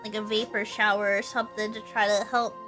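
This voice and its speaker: A woman's voice: medium pitch, level tone, brisk. A young woman streaming a game, chatting casually and rambling with lots of fillers, her nose stuffy from allergies. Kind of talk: casual gameplay stream chat. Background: game music.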